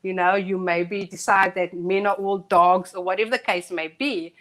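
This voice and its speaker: A woman co-hosting a live talk show who talks earnestly and thinks out loud. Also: on the low side, fairly even intonation, moderate pace. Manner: earnest, reflective, thinks aloud. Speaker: co-host. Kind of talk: casual discussion on a live show.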